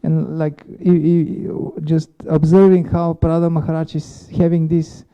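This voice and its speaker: A man sharing a spontaneous devotional reflection as a class participant, halting and repeating his words. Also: on the high side, flat delivery, mellow, muffled recording. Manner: halting, repetitive phrasing.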